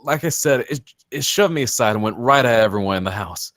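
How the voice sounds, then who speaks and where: A man's voice: medium pitch, animated, brisk, fairly bright tone, no echo, studio quality, lightly acted. A man acting a shaken, guarded survivor in a film script read, speaking tersely and hesitantly. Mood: shaken, subdued. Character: Damien, shaken, guarded male survivor of an attack.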